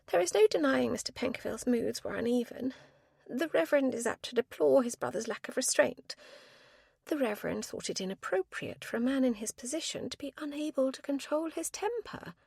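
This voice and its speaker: Lady doing a high-strung prim spinster, high voice all over the place, super formal. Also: lively intonation, a little fast, gravelly, darkish tone, breathy edge, no echo, clean studio sound, exaggerated voice. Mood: earnest, prim. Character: prim, nervous spinster woman, prone to shrieking.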